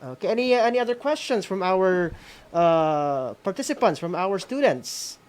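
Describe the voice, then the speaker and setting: A man with a Filipino accent hosting a live school broadcast in a halting way, often adding "no?" as a tag. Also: high-pitched, lively intonation. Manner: halting, with frequent "no?" tags. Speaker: host. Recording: noisy background with ambient sound.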